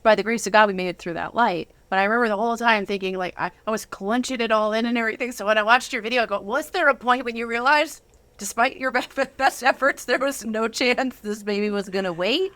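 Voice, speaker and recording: A woman's voice: a little high, fast talker, a bit bright, no room sound. A woman in her thirties hosting a casual interview, chatty and given to acting out remembered dialogue. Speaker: talk show host.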